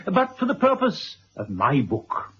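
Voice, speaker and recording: An actor playing a genial, garrulous retired headmaster, formal and wordy, in a 1970s radio drama. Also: male voice, sits high, huge pitch swings, unhurried, a bit bright, breathy edge, exaggerated voice. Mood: chuckling, self-important. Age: older. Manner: formal, wordy, chuckling asides. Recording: dull old radio drama recording.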